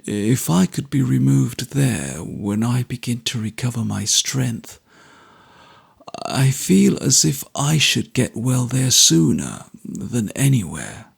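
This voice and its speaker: A man voicing a gravely ill young man who speaks slowly, faintly and earnestly. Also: medium pitch, sing-song, full character voice. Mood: weak, tender.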